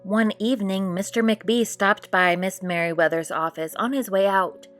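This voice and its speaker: A woman reading a children's picture book aloud as expressive storytime narration. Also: medium pitch, moderate pace, soft background music. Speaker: storytime read-aloud host.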